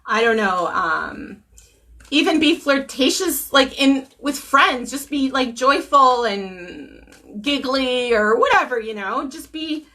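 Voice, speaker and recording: Woman, tarot reader, hoarse from a cold, bright tense tone, throat clearing, lots of ums. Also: high voice, expressive, slow pace. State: hoarse from a cold, losing her voice. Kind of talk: casual live-streamed tarot reading.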